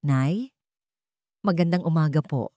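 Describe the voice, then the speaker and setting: A woman's voice: sits low, a little slow, dark tone, no echo, studio quality. A woman playing a distressed young bride who speaks respectfully and earnestly to her mother, in a narrated love story. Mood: forced cheer. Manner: respectful, pleading.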